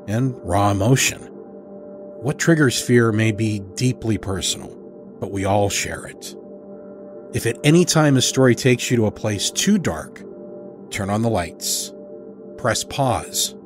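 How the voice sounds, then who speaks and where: A man hosting and narrating a horror fiction podcast, reading from a script in a measured, deliberate way. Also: lowish voice. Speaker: podcast host and story narrator.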